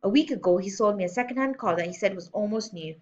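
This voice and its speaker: A woman's voice: mid-range voice, fast, muffled recording, slight background noise, lightly acted. A woman voicing a domineering, contemptuous headmistress who barks and sneers in a children's storybook reading. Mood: menacing, indignant. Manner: barking, scolding, sneering insults. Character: domineering, bullying adult headmistress, contemptuous and loud. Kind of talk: children's storybook read-aloud.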